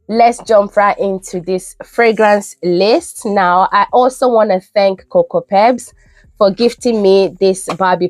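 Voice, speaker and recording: A woman's voice: mid-range voice, darkish tone, dry recording. A young woman who sounds nasal from a cold, chatting enthusiastically as a beauty vlogger reviewing products. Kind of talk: casual product review vlog. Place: UK home.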